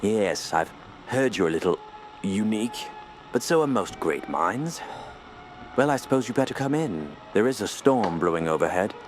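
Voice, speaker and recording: An actor playing a stern, troubled older male archaeology professor in an audio horror drama, gruff, suspicious and intense. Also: fairly low pitch, sing-song, normal speed, background noise. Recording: loud music bed behind.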